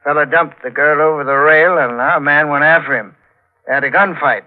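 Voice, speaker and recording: A man acting a folksy state trooper in a vintage radio drama, drawing out his questions in a slightly high male voice. The broadcast sound is tinny and narrow. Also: moderate pace, close to an everyday voice. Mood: folksy, reassuring.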